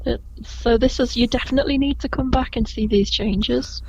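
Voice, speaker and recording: A woman from Liverpool with a gentle Scouse accent, a tour guide chatting as a podcast guest, rambling a little and laughing often. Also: on the high side, moderate pace, slightly rough, muffled recording. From Liverpool, England. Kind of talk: casual podcast interview.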